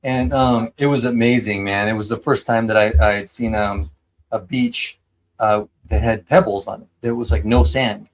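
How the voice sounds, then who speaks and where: A man's voice: fairly low pitch, fairly even intonation, normal speed, low-quality recording. An American man from San Diego hosting a live stream, chatting enthusiastically with lots of fillers and restarts. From San Diego, United States. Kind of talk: casual chat.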